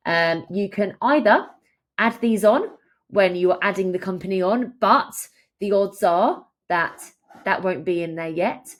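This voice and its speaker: A woman presenting a software tutorial, explaining each step as she walks through the screen. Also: mid-range voice, lively intonation, measured pace. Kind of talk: instructional screen walkthrough.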